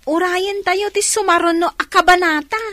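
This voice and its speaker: A woman's voice: very high-pitched, steady tone, normal speed. A woman with an Ilocano accent acting in a radio drama as a deferential underling talking to a boss.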